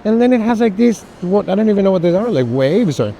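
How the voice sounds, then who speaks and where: A man's voice: high-pitched, lively intonation, quick pace, dark tone. A man speaking casually as an art collector and guest at a fair, effusive and emphatic. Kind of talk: casual chat. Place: art fair, walking tour. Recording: faint noisy fair hall ambience.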